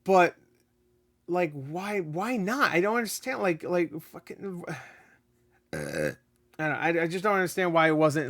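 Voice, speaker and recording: Man, slightly high voice, excitable and rambling, casual game review host, a bit raspy. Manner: excitable, rambling, laughs often, swears. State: voice getting raspy. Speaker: gaming video host.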